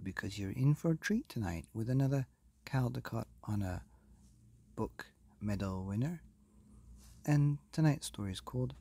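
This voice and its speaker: A man hosting and reading a children's bedtime story in an expressive, theatrical way. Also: fairly low pitch, creaky, husky, no echo. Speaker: podcast host and story reader.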